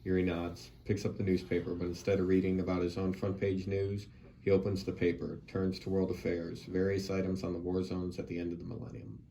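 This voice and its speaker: Very deep, flat male narrator. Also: brisk, gravelly. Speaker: narrator reading stage directions. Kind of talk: scripted narration at a table read. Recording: distant mic, background noise.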